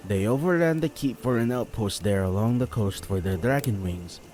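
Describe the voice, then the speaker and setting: A man's voice: on the low side, expressive, normal speed, darkish tone, a little hiss or hum, voice pushed up, close to an everyday voice. A man voicing a sober, thoughtful male knight in a fantasy audio story.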